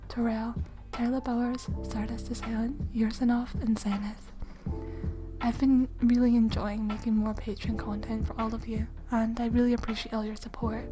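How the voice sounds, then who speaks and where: A woman with a somewhat high voice talks softly as the host of an ASMR channel. She delivers an outro to her listeners, with music clearly heard behind her.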